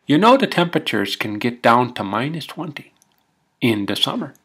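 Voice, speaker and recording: A man playing a folksy, rambling Minnesotan husband with a Scandinavian-tinged Minnesota accent, telling comic tall tales in halting, homespun grammar. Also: mid-range voice, sing-song, a bit bright, slightly rough, heavily acted. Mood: folksy, exasperated. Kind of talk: comic tall-tale storytelling.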